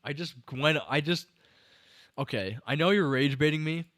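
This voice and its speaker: A man's voice: fairly high pitch, normal speed, a bit bright, no echo, studio quality. A young man hosting a livestream, rambling through a film breakdown with restarts while answering chat, and slightly tired. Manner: rambling, restarts sentences, talks to chat viewers. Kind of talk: casual enthusiastic film commentary to chat.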